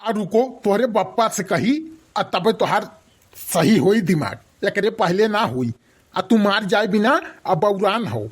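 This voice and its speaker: Casting: woman playing a grumbling older village uncle for comic cartoon, Awadhi accent, medium pitch, mocking and scolding. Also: animated, measured pace, breathy edge. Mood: admonishing. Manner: mocking, admonishing.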